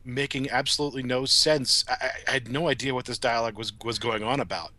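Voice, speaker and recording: A man chats casually as a podcast co-host, with wry humour. His mid-pitched voice is bright and crisp-edged, recorded very dry. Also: steady tone, fast talker, dry recording. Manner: wry, joking. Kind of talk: casual humorous episode discussion.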